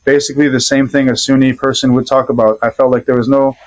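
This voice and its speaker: A man with a Rhode Island accent tells a personal story on a podcast, at a middling pitch for a man. His delivery is relaxed and meandering, with plenty of pauses as he gathers his thoughts. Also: poor audio. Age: adult father. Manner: easygoing, loosely rambling with many pauses. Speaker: podcast guest. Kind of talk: casual conversational storytelling.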